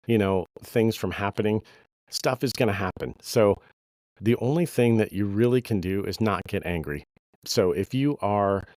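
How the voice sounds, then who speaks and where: A middle-aged man, a bass player with a slight cold, giving casual, rambling advice to musicians with plenty of fillers. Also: a little mellow, no room sound. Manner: conversational, many fillers, anecdotal. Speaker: podcast host, bass player.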